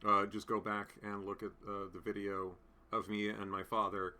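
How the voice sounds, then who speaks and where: A man's voice: on the low side, level tone, moderate pace, pushed and bright. A man in his late thirties hosting a casual live chat from home, rambling with many fillers and restarts as he answers comments. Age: 30s. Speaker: livestream host.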